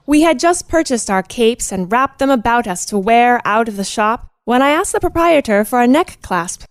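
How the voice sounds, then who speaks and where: A woman playing a genteel young lady who narrates a period radio play in a measured, formal literary manner. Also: on the high side, animated, no room sound, natural voice. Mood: measured, fond. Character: genteel young female cousin recounting detective tales. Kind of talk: scripted first-person radio play narration.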